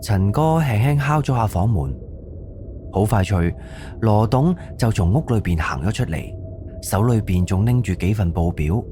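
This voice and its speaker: A man's voice: lowish voice, animated, normal speed. A man with a Cantonese accent giving scripted narration for an audio drama. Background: background music.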